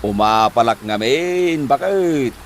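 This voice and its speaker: An actor playing a scheming husband who coaxes his wife to work abroad, speaking with an Ilocano accent in a lively, pushy way. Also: mid-range voice, slow, slight background noise. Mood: coaxing. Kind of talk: comedy drama acting.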